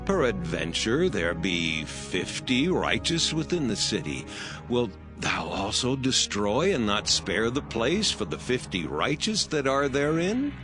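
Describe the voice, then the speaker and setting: An actor playing a humble, earnest, reverent old patriarch in a dramatized scripture reading. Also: male voice, mid-range voice, big pitch swings, measured pace. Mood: earnest pleading. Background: music.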